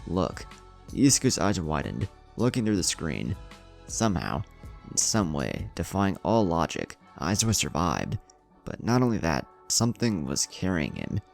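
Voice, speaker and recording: Male narrator for dramatic fan fiction, very low register, animated intonation, gravelly texture. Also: moderate pace, soft background music. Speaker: story narrator.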